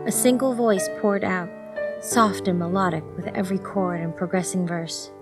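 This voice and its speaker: A woman's voice: lowish voice, moderate pace, over loud music. A woman narrator reading a scripted story for an audiobook.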